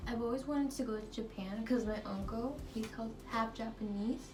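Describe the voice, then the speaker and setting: A woman's voice: on the high side, normal speed. An eighteen-year-old woman co-hosting a casual Q&A vlog, talking informally with "like" peppered through her answers. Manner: filler-heavy, repeats "like". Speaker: vlog co-host. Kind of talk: casual Q&A chat. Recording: distant mic with music behind. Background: music.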